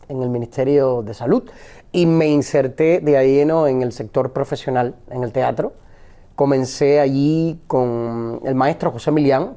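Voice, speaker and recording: A middle-aged man with a Cuban accent talks in a calm, reflective way, often pausing and starting his phrases over. His voice is dark and mellow and sits at a middle pitch. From Cuba. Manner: measured, reflective, with frequent hesitations. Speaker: actor and poet guest. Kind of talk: interview conversation.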